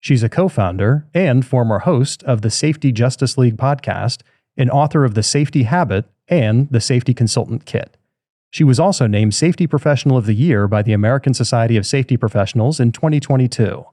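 Guy hosting a podcast, mellow dry voice, friendly and easygoing. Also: mid-range voice, brisk, dry recording. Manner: friendly, scripted intro then easy conversational questions. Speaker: podcast host. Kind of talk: podcast interviewing.

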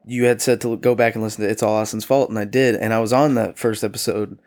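A young man with a lowish voice talks on a casual podcast. He speaks softly and haltingly, often trailing off and restarting his thoughts. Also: little pitch movement. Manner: hesitant, halting, soft-spoken. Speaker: returning podcast guest.

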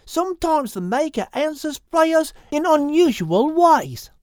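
A woman voicing a gentle female platypus who speaks in a calm, reassuring, unhurried way. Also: sits high, no room sound, studio quality, voice pushed up.

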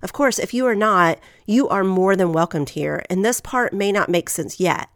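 Nasal, gravelly-voiced woman podcast host. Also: mid-range voice, moderate pace, no echo, studio quality. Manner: earnest, encouraging, reads scripture aloud. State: getting over a head cold.

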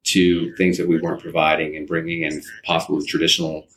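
A man in his fifties answering interview questions conversationally, haltingly, often repeating words and restarting his sentences. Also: very deep voice, completely flat delivery, fairly bright tone, a little hiss or hum, low-quality recording. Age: 50s.

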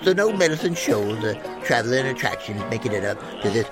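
Actor as an animated, wry saloon local. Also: male voice, mid-range voice, fairly quick, lightly acted. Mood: wry, amused. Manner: sardonic, knowing. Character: wry, chatty Old West saloon local, darkly joking. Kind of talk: western audio drama acting. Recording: music behind the voice.

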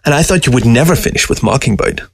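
A man's voice: mid-range voice, lively intonation, fast talker, slightly rough, no echo, voice pushed up. A man voicing a sardonic, taunting fox in a storybook reading, with a teasing, folksy manner. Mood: exasperated. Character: sardonic, taunting dead fox, talking to its taxidermist.

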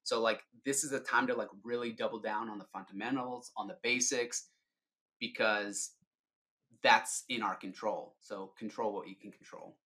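A man's voice: on the low side, steady tone, moderate pace, pushed and bright. A middle-aged man giving informal, explanatory answers as a sales leader being interviewed on a podcast.

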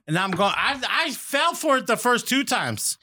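A man's voice: very high voice, sing-song, normal speed, bright, forceful tone. A married man in casual chat, ranting profanely and stammering when worked up. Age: adult, married.

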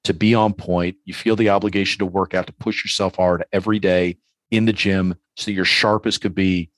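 A man's voice: low voice, fairly even intonation, a little fast, no echo. A middle-aged man hosting a podcast, talking casually in long, rambling, philosophical asides. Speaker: podcast host.

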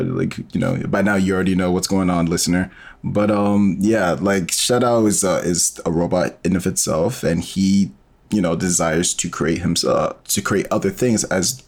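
A man's voice: low voice, vocal fry, darkish tone. A man speaking as an interview guest, explaining his storytelling in a casual, informal way and thinking aloud as he goes.

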